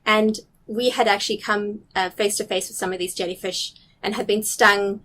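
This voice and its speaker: A middle-aged woman from South Africa with a blended, slightly Canadian international accent describes coastal wildlife in a relaxed interview. She sits at mid pitch, curious and enthusiastic, and she pauses and restarts as she searches for the right word. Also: slight background noise. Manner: enthusiastic, curious, explains carefully with small restarts. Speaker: guest, nature recorder. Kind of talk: casual interview chat. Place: restaurant or office in a city.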